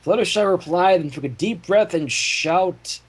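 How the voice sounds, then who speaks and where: A man with a husky voice hosting a livestream, narrating a fan story aloud with casual asides. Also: sits high, measured pace. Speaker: fan fiction reader, livestream host.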